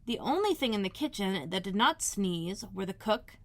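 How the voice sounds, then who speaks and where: A woman's voice: medium pitch, sing-song, moderate pace, fairly bright tone. Woman reading a children's story aloud. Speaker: elementary school librarian reading aloud. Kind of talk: expressive children's storybook narration.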